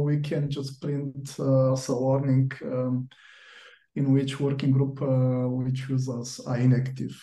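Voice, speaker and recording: Slow, hesitant man with dark, muffled timbre. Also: medium pitch. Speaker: meeting chair. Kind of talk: work video call discussion. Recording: roomy echo.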